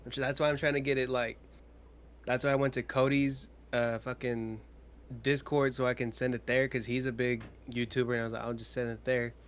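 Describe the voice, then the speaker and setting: A man's voice: medium pitch, flat delivery, brisk, slight background noise. Laid-back young man, easy chuckling. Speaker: video editor. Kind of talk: casual chat.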